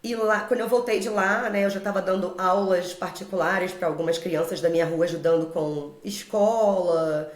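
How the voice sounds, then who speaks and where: Female podcast guest, 40s, Carioca accent, lowish pitch, conversational storyteller, echoey room. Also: fairly even intonation, moderate pace, few pauses. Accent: Carioca Brazilian Portuguese. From Rio de Janeiro, Brazil. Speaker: podcast guest, English teacher. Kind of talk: conversational personal storytelling in an interview. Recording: roomy echo.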